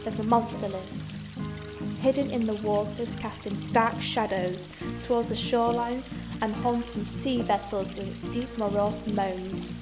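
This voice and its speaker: A woman playing a composed, reproving royal-born guide in an audio drama, measured and gently teasing like a storyteller. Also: mid-range voice, fairly even intonation, lightly acted. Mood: storytelling. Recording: music behind the voice.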